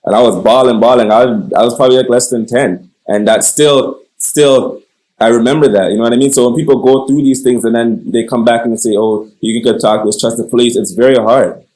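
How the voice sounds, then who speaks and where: A man's voice: mid-range voice, steady tone, a little fast, darkish tone, low-quality recording. A man interviewing conversationally, feeling his way through questions and rephrasing as he goes. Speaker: interviewer, host.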